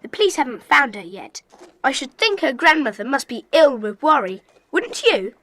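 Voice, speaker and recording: High-pitched, bossy schoolboy sleuth. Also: female voice, big pitch swings, moderate pace, fairly bright tone, dry recording. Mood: earnest. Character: schoolboy leader of child detectives, bossy, decisive. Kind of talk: children's audio drama acting.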